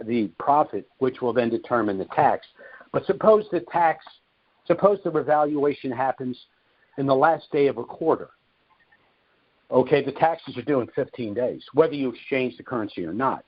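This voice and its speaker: A man hosting a question-and-answer call, explaining things deliberately and emphatically, repeating his key points. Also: mid-range voice. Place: conference call. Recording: muffled, narrow-band conference call audio.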